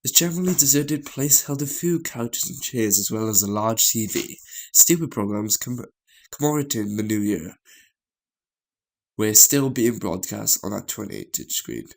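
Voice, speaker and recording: A man reading a visual novel story aloud as a gaming host, in a halting way with stumbles and repeated phrases. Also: lowish voice, lively intonation, gravelly, poor audio.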